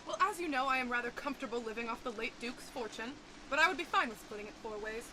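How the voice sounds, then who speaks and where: A woman's voice: sits high, lively intonation, fast talker, background noise. A woman playing a haughty, theatrical rich widow who likes her drink in an amateur murder mystery audio drama. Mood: arch, dry. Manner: theatrical, indignant.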